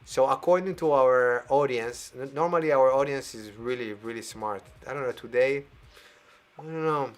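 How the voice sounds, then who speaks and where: A man hosting a live variety show over a video call, playful and animated, laughing as he talks. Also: mid-range voice, moderate pace. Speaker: host.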